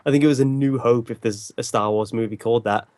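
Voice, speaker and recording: A man's voice: mid-range voice, steady tone, fast talker, darkish tone, no room sound. A man chatting casually in a jokey, self-deprecating way. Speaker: livestream guest and voice performer. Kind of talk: casual chat.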